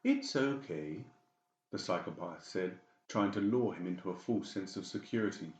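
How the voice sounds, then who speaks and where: A man's voice: low voice, a little fast, roomy sound. A man narrating a horror audiobook in a dramatic, scripted storytelling style.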